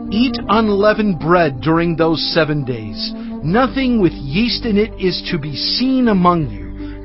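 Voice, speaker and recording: An earnest prophet leading his people in a biblical audio drama. He declaims in a fairly high male voice, instructing with conviction. The recording is a little muffled, over a music bed. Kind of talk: dramatized scripture reading.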